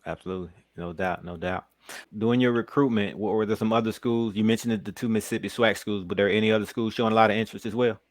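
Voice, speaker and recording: Quick-talking low-voiced man interviewer. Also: steady tone, no echo. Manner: quick, friendly, teasing questions. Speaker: podcast interviewer. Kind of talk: casual sports interview.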